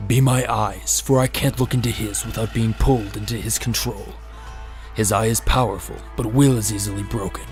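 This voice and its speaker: A man playing a cold, menacing ninja torturer who makes slow, deliberate threats in an audio drama. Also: on the low side, fairly even intonation, natural voice. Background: loud dramatic music.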